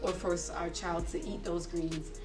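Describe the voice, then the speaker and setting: A woman's voice: lowish voice, little pitch movement, normal speed, distant mic, music in the background. A woman hosting a podcast, interviewing a guest in a casual, friendly way, chatty with fillers and frequent laughs. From South Florida. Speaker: podcast host.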